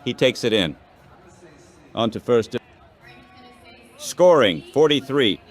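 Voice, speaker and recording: Slow-paced male baseball play-by-play announcer. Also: mid-range voice, sing-song, faint music behind, a faint crowd.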